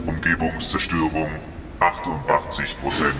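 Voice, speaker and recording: An actor playing a cold, analytical combat robot in a sci-fi audio drama, speaking in a clipped, mechanical way. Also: male voice, very deep voice, level tone, a little slow, very noisy. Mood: emotionless. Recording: distant, reverberant sound under loud music.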